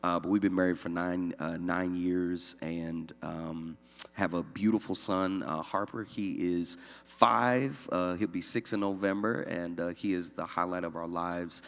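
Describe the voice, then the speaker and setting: A man's voice: low voice, steady tone, unhurried, vocal fry, fairly bright tone. A man in his thirties from upstate New York, a young adult pastor, speaking in an easygoing, joking way with many fillers. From Syracuse, New York. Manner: easygoing, many "uh" fillers, self-deprecating humor. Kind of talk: church talk, personal testimony. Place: church room. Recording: long hall reverb.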